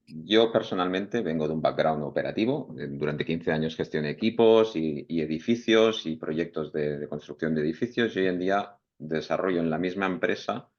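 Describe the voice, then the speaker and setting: A man's voice: a bass voice, normal speed, few pauses, a little mellow, highs cut off. A man on a professional panel asking a long, carefully built question. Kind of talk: round-table discussion.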